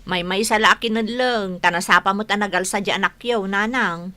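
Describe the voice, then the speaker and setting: A woman's voice: medium pitch, normal speed, bright, forceful tone, no room sound, natural voice. A woman with an Ilocano accent playing a nagging, exasperated farmer's wife and mother in a comedy radio drama, scolding and exclaiming. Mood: resigned.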